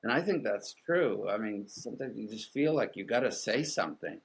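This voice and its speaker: A middle-aged man from Sweden, whose first language is Swedish, speaking as an artist and author in an interview, thoughtful and halting with many restarts. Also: lowish voice, expressive, creaky, breathy edge. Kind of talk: reflective interview conversation.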